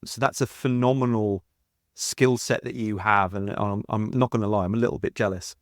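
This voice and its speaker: A man's voice: lowish voice, fairly even intonation, moderate pace, no echo, clean studio sound. Enthusiastic male podcast host in his thirties. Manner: enthusiastic, complimentary interviewer. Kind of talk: podcast interview.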